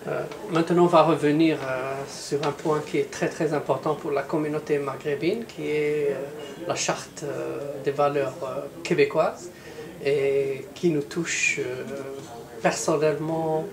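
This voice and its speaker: Male interviewer, slightly higher voice, hesitant questions, echoey room with crowd. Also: steady tone, a little slow. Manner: hesitant, many fillers.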